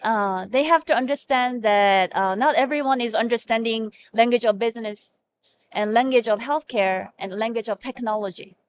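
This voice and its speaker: A woman speaking English with a Korean accent, her voice sitting a little high. She talks earnestly, pausing on fillers and restarting words as she explains. A faint noise runs under the recording. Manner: earnest, frequent fillers and self-corrections. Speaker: hospital chief medical information officer. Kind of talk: conversational interview answers.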